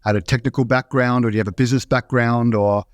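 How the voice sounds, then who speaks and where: A man's voice: mid-range voice, steady tone, fast, no echo, studio quality. A man hosting a podcast, asking casual, rambling questions with several parts. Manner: casual, asks rambling multi-part questions. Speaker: podcast host. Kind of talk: casual interview chat.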